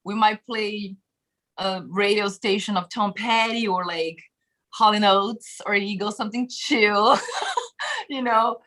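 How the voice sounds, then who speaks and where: A woman's voice: medium pitch, takes it slowly. A woman in her thirties with a Brazilian accent, chatting casually as an interview guest over a video call from a home room, laughing often and filling with "like" and "you know". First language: Portuguese. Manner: animated, laughs often, many fillers. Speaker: guest musician. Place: bedroom home studio, indoors. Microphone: webcam video call.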